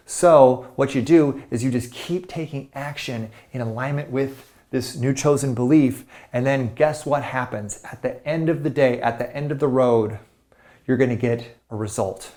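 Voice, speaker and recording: A man's voice: mid-range voice, darkish tone. A young man speaking as a life coach, giving an enthusiastic, conversational motivational talk full of "right?" and "you know".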